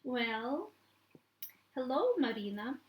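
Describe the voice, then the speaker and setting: Woman reader as menacing, scornful betrayed wife in a story, highish pitch, halting and drawn-out. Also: sing-song, highs cut off, full character voice. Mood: mocking greeting. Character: scornful, menacing wronged wife confronting her rival. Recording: echoey room.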